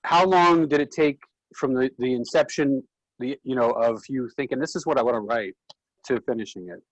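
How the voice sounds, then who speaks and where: A man's voice: mid-range voice, moderate pace, no room sound, rough recording. A man, an actor chatting casually and asking questions after a play reading. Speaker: actor, colleague giving feedback. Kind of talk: casual chat.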